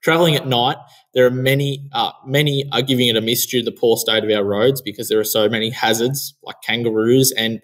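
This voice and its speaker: A man's voice: medium pitch, low-quality recording. Young Australian man hosting a video call. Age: young adult. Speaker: host. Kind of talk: casual interview with partly read script. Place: office room, indoors. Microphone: headset on video call.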